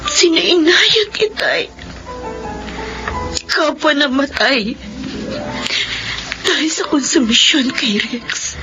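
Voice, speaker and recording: A bitter, grieving young daughter in a radio drama. Her voice is very high and swings widely with tearful emotion. The recording is dull and lo-fi. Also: animated, takes it slowly, long pauses, music in the background. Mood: bitter, weeping. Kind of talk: Filipino radio drama acting.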